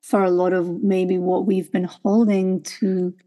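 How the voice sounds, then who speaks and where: A woman's voice: fairly low pitch, level tone, a little slow, warm tone. A woman hosting a podcast, chatting thoughtfully and affirming her guest, with frequent "like" fillers. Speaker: podcast host. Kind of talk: casual podcast conversation.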